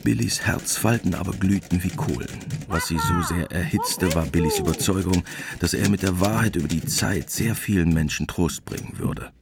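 Deep-voiced male radio drama narrator. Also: huge pitch swings, normal speed, background noise. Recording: prominent music behind the voice.

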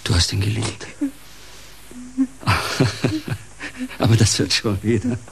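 An actor playing a volatile, self-pitying uncle in a radio drama, theatrical and erratic, swinging between pleading and ranting. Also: male voice, fairly low pitch, huge pitch swings, takes it slowly, creaky, a little hiss or hum, heavily acted. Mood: tender, resolute. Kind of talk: German radio drama acting.

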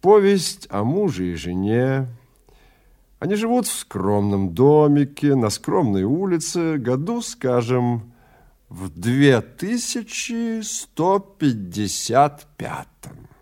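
Guy doing a slick sinister film director for an audio drama, slow teasing pauses, voice goes up and down a lot. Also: medium pitch, big pitch swings, darkish tone. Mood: sly storytelling. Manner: unhurried, theatrical, insinuating, pausing between phrases. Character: suave, menacing male film director, calmly toying with his prey.

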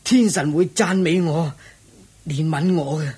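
A hoarse, suffering proud ascetic. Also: male voice, on the high side, measured pace, mellow. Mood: pained, proud. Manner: weary, groaning endurance. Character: suffering, proud male ascetic covered in sores. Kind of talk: Cantonese radio drama acting.

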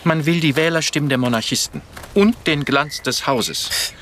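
An actor playing a dutiful, courteous aide to an exiled emperor in a radio drama, formal, earnest and restrained in manner. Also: male voice, on the high side, normal speed, a bit bright, a little hiss or hum, natural voice. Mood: worried. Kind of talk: naturalistic radio drama dialogue.

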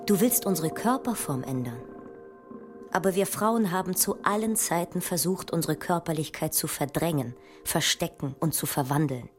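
A woman's voice: sits low, expressive, moderate pace, soft background music. An actress playing a proud, contrary woman mathematician of the 19th century, assertive and declamatory in a radio play. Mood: challenging.

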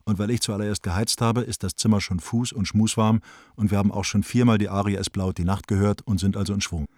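A man playing a lively, chummy young bohemian who reads out a letter to a friend in a radio drama. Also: fairly low pitch, fast, no room sound, studio quality, natural voice. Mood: cheerful, cosy.

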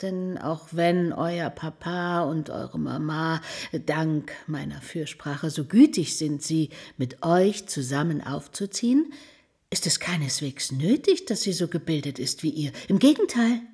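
A snobby middle-aged aunt in a radio drama, lowish husky voice, pompous and talks down to people. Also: female voice, measured pace, a little mellow, heavily acted. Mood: haughty, disapproving. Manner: pompous, condescending, moralizing. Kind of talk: radio play acting.

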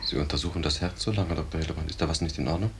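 An actor playing a worried, gentle man at a bedside in a 1950s radio drama, speaking in hushed tones. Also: very deep voice, steady tone, very fast. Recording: noisy 1950s radio recording.

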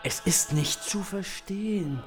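An actor in a radio play playing a solemn watcher who reports in halting short observations what he sees. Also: high-pitched, faint music behind. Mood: grave. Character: solemn, hushed watcher narrating what he sees through a window. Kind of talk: slow symbolist radio play acting.

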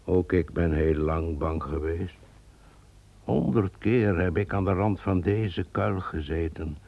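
An actor playing a brooding man descended from a traitor in a radio play, speaking in a solemn, measured way. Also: sits low, exaggerated voice. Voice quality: gravelly. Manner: solemn, measured, visionary storytelling. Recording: dull lo-fi old radio recording.

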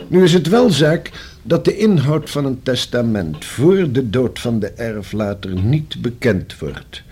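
An actor playing a formal, precise and long-winded notary in a Dutch radio play, with a slightly husky voice. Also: male voice, medium pitch, lively intonation, a little slow, darkish tone, a little hiss or hum. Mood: formal, explanatory. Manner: formal, precise, pedantic legal phrasing. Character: formal, discreet, long-winded male notary explaining a will.

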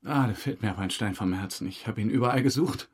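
An actor playing a chummy, self-satisfied murder suspect who breezily dodges questions in a German crime audio drama. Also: male voice, on the low side, quick pace, breathy edge. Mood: smug, dreamy. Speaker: suspect under interrogation.

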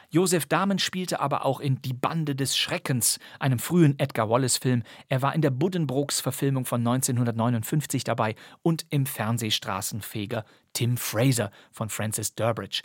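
A man's voice: mid-range voice, husky, no echo. Male podcast presenter, dry close sound. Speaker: podcast host. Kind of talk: scripted, presenter-style narration.

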